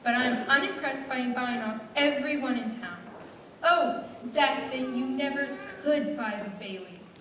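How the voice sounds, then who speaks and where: A woman's voice: high voice, unhurried, a bit bright. A woman playing a blunt, principled bank examiner in a stage radio play, firm and confrontational. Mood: indignant. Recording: reverberant hall, distant mic, faint noise.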